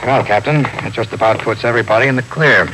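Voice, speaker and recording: An American actor playing a dry, sardonic police detective in a 1950s radio drama. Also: male voice, medium pitch, fast, natural voice. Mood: matter-of-fact. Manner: wry, matter-of-fact. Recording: noisy 1950s radio recording.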